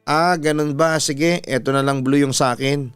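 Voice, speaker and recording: A man with a Filipino accent playing a gentle, hesitant little sister who gives way to others in a story. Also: fairly high pitch, fairly even intonation, normal speed, smooth, no echo, natural voice. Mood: meek, placating. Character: meek, considerate young girl, the sickly younger sister. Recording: music behind the voice.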